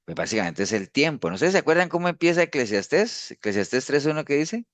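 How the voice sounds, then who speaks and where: A man's voice: on the high side, very animated, quick pace, no echo. A pastor giving an informal discipleship teaching, talking conversationally and often tagging his sentences with a questioning "no". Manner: conversational, frequent "¿no?" tags and restarts.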